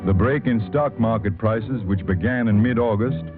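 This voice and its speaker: A man's voice: fairly low pitch, moderate pace, over music, close to an everyday voice. An actor playing a stiff, formal bank chairman in a 1930s radio news dramatization. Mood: stern, formal. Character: formal male bank chairman issuing a statement. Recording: tinny 1930s radio broadcast.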